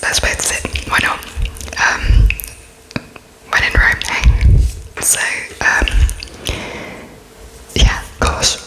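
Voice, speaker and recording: Hushed, halting ASMR whisperer. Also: low-quality recording. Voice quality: breathy, unvoiced whisper. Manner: hesitant, halting, gently polite. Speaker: ASMR roleplay performer playing an interviewer.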